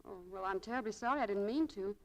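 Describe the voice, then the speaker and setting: A woman's voice: mid-range voice, quick pace, close to an everyday voice, low-quality recording. An actress playing a plucky, persistent young woman looking for work, polite and eager in 1940s radio style. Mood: determined. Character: plucky, persistent young female job seeker.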